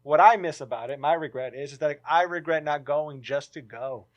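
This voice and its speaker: A man co-hosting a podcast in casual chat, animated, swearing freely and sinking into low, heavy tones. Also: brisk, lo-fi sound. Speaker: podcast co-host.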